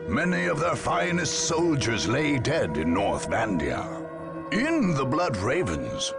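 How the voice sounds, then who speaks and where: An actor playing a grave, solemn chronicler of a galactic war, giving scripted video game narration in a gravelly voice. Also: male voice, medium pitch. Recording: narration over prominent game music.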